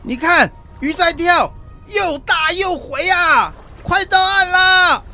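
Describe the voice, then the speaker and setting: A man's voice: extremely high pitch, slow, bright, forceful tone, exaggerated voice. An actor playing an awed, fervent male fisherman disciple in a biblical radio drama, speaking in earnest exclamations. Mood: elated. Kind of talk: Chinese-language biblical radio drama acting.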